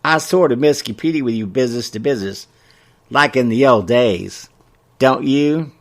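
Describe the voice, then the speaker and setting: A man's voice: medium pitch, moderate pace, lightly acted. A man voicing a chatty, thrifty elderly small-town woman in a story, with a folksy, fussy manner. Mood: nostalgic.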